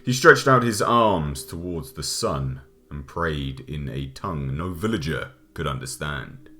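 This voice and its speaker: Male audiobook narrator, very deep register, solemn measured storytelling of gothic fiction, music underneath. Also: expressive, sharp tone. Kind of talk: scripted narration of an archaic gothic tale. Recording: music behind the voice. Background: background music.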